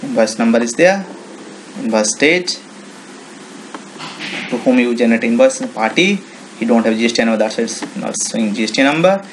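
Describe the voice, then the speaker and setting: A man with an Indian accent giving an informal software walkthrough, explaining step by step in simple, repetitive phrases. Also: mid-range voice, animated, moderate pace, long pauses, slight background noise, rough recording. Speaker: software tutorial presenter. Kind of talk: informal screen-recording walkthrough.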